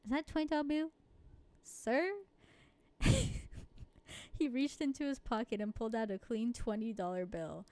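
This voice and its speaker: A woman's voice: a little high, a little fast, darkish tone, dry recording. A woman playing a story game, reading the narration aloud and adding casual, giggly asides. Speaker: video game streamer.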